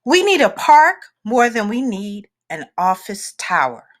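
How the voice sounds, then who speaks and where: Slow, expressive woman reading a storybook. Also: mid-range voice. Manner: deliberate, expressive phrasing with dramatic pauses. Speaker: picture book reader. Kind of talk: children's read-aloud storytelling.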